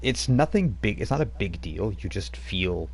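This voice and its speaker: A man's voice: lowish voice, lively intonation, normal speed, a little mellow, slightly rough. A man running a tabletop role-playing game on a live stream, rambling with frequent restarts as he narrates. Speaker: game master.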